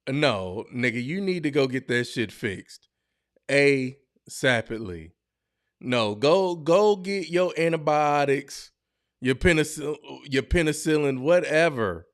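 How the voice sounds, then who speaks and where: A male podcast host talks slowly and at ease, in a smooth, clean voice. His casual reaction commentary is slangy, blunt and joking, and he often breaks into exclamations. The sound is dry and close to the mic. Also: mid-range voice, dry recording.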